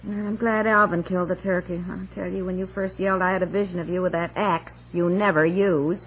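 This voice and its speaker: An actress playing a brisk, fretting housewife and mother in a chatty, natural 1960s radio comedy style. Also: lowish voice, steady tone, natural voice. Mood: weary, chatty. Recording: muffled old radio broadcast, some noise.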